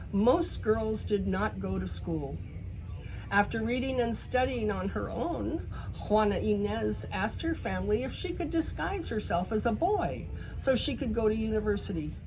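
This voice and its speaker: A woman reads a picture book aloud to children, pausing for emphasis and speaking directly to her young listeners. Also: medium pitch, background noise. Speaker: children's story reader. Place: bookstore in a shopping center.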